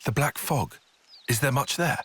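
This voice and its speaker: A man's voice: mid-range voice, expressive, moderate pace, a bit bright, slightly rough. A man playing a cautious, formal young strategist in a video game, precise and analytical in speech. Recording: music behind.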